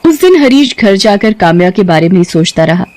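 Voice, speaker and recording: A woman's voice: mid-range voice, big pitch swings, brisk, noisy background. A woman working as a narrator, reading a scripted story.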